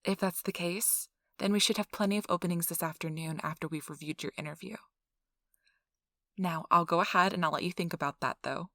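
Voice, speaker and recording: Close and dry, a woman acts out a chatty receptionist-interviewer at a vampire donation clinic. Her voice is lowish with a crackly fry, and she talks in an officious, reassuring ASMR role-play manner. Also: steady tone, fairly quick, a bit bright, no echo. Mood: polite, businesslike. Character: chipper, gossipy female interviewer at vampire blood donation center.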